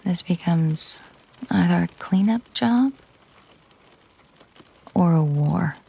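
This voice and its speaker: A woman playing a possessive, menacing mafia boss girlfriend in an intimate audio roleplay, speaking in a controlled, hushed, deliberate way with a breathy, husky voice. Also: on the low side, lively intonation, heavily acted. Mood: quietly menacing. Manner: slow, deliberate, hushed, often breathy near-whisper.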